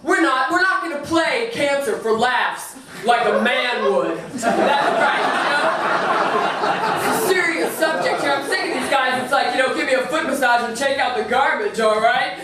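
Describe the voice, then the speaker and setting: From a distance in a very echoey theatre, a woman plays a self-righteous feminist comedian. She is high-pitched, brash and punchy, pushing the audience to agree in a live stand-up sketch. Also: lively intonation, moderate pace, a crowd in the background, background noise. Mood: brash, indignant. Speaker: stand-up comic.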